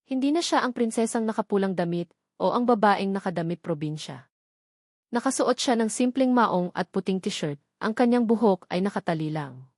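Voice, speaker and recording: Female Filipino storyteller for dramatic audiobook narration, mid-pitched, measured delivery, dry recording. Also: expressive, darkish tone, dry recording.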